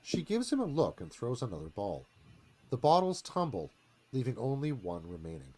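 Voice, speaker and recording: Animated male screenplay narrator. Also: medium pitch, moderate pace. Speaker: narrator reading stage directions. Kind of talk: dramatic scripted narration.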